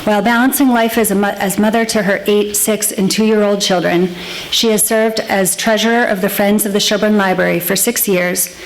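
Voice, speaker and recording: A quiet-voiced woman reading a prepared nomination at a town meeting, soft-spoken and polite. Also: medium pitch, fairly even intonation, normal speed, low-quality recording. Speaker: resident giving nominating speech.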